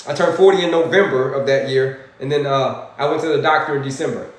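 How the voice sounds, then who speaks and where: A man in his forties, an energetic health coach hosting a livestream, animated and repetitive as he preaches to viewers and reads chat comments. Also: mid-range voice, fast talker. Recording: very echoey room.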